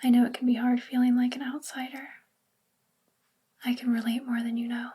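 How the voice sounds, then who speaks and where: A woman playing a sweet, bookish witch librarian in a soft spoken ASMR roleplay, gentle and soothing in a breathy, whispery voice. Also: on the high side, flat delivery, a little fast. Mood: kind, reassuring.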